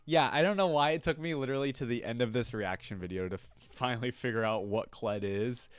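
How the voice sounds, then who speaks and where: A man's voice: medium pitch, fast talker, smooth, no echo. A man giving casual, amused commentary as the host of a reaction video. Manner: amused, repeats lines he likes.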